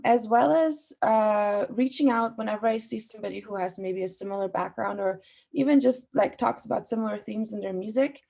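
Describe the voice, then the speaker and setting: Young female panelist, native Farsi speaker, mid-pitched, reflective with frequent pauses and fillers. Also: gravelly, a little mellow. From Austria. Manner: thoughtful, many fillers. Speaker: guest artist. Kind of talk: panel discussion.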